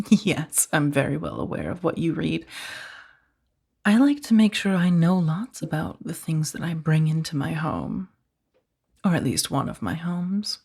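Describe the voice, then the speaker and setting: A woman playing a powerful, seductive mafia boss in a soft-spoken ASMR roleplay, speaking in a breathy, measured, commanding and teasingly menacing way. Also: low voice, lively intonation, exaggerated voice. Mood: amused, condescending. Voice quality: soft, breathy, often slipping toward whisper. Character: powerful female mafia boss, coolly controlling, seductive kidnapper.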